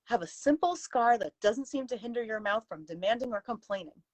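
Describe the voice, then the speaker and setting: A woman's voice: on the high side, lively intonation, brisk, no room sound, pitched above the performer's own voice. A woman voicing a stern, outspoken Victorian lady's companion with a prim, emphatic, reproving manner in a story reading. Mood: indignant, scolding. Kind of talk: live dramatic story reading.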